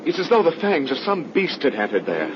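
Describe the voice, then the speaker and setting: A man's voice: on the high side, huge pitch swings, brisk. An actor playing an earnest, troubled young man, a devoted brother, in 1930s radio horror style. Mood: anxious. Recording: noisy, muffled 1930s radio recording.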